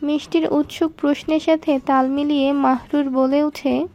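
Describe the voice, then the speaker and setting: A woman's voice: sits high, flat delivery, normal speed, a little mellow, soft background music. A woman narrating a scripted romantic story.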